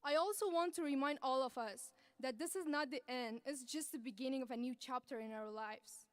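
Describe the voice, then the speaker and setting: Young woman from afghanistan giving her grad speech, high clear voice, earnest, podium mic, dry sound. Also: dry recording. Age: young adult. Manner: earnest, measured speech delivery. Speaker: graduating student speaker. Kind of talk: graduation speech. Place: large ceremony venue.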